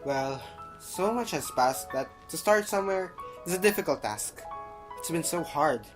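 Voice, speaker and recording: A man's voice: a little high, animated, unhurried, over music, poor audio. A man playing a dutiful, earnest brother and doctor in an amateur audio drama, with formal phrasing. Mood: reflective.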